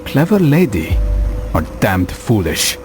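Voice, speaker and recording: An actor playing a calm, watchful, serious medieval assassin in a video game, speaking tersely. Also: male voice, lowish voice, takes it slowly, music in the background. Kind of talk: video game cutscene acting.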